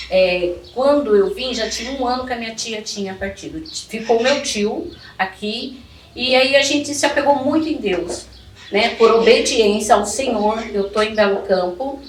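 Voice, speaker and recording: A middle-aged woman gives personal testimony in conversation, devout, colloquial and emphatic. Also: fairly high pitch. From São Paulo, Brazil. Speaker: interview guest telling her life story. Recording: echoey, distant mic, clearly noisy.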